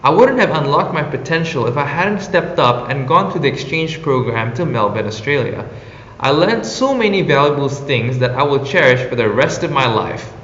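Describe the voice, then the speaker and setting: A young Indonesian man speaks English with an Indonesian accent, his pitch rising and falling in a lively way. He reads an earnest, prepared reflection. The recording is roomy with a long echo and a slightly dull sound. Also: moderate pace, a little hiss or hum. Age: young adult. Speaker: exchange intern. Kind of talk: scripted personal reflection.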